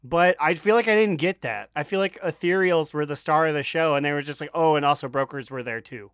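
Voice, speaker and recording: A man with a fairly high, clean voice chats as a podcast co-host. He is bawdy and joking, and the sound is close and dry. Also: fairly quick, a bit bright, clean tone, no room sound. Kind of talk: casual comedic banter.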